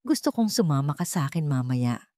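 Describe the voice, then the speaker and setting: A woman's voice: low-pitched, big pitch swings, a little fast, warm tone, dry recording, clean studio sound. A woman voicing a proud, remorseful old tycoon father in a story narration, hopeful and determined. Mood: eager.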